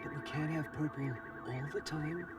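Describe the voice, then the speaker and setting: A man's voice: a little high, fairly even intonation, moderate pace, far from the mic, over loud music, close to an everyday voice. A man playing a self-satisfied, airy cartoon villain with a languid, condescending manner. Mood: smug, airy. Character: fey, self-satisfied villain chatting to his pet.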